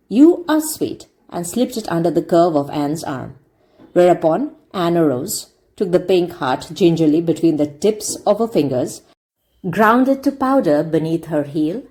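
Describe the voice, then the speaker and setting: A woman reading a classic story aloud as an expressive audiobook narrator. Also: fairly low pitch.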